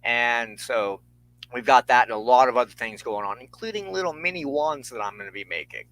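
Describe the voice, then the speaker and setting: A man hosting a solo spirituality show, lecturing on folklore in an earnest, measured way, partly reading from notes. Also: mid-range voice, fairly bright tone, low-quality recording. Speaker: host of a spirituality talk show.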